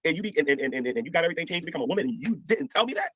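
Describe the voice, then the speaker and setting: A man's voice: a little high, expressive, racing, fairly bright tone, no room sound. An older man from the United States with a head cold, talking casually on a livestream and preaching with scripture quotes. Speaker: streamer.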